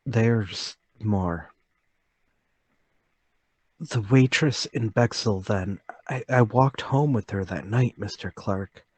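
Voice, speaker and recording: A man's voice: lowish voice, takes it slowly, long pauses, husky, dry recording. An actor playing a meek, troubled travelling salesman, hesitant and deferential, in a table read of a period murder mystery. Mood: anxious, unsure.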